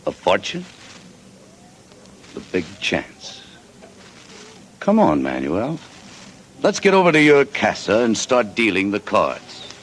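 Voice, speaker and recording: Hard-boiled American adventurer. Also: male voice, fairly low pitch, very animated, slow, pauses often. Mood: eager. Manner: clipped, hard-boiled, wisecracking. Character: tough wisecracking American adventurer man. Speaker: narrator and lead. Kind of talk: 1940s radio drama acting. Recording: hissy 1940s radio broadcast.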